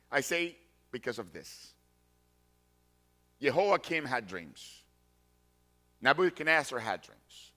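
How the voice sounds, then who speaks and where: A man's voice: medium pitch, bright, forceful tone. A man in his forties from Colombia, preaching a sermon and hammering home his points by repeating key phrases. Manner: deliberate, emphatic repetition of key phrases. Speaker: pastor.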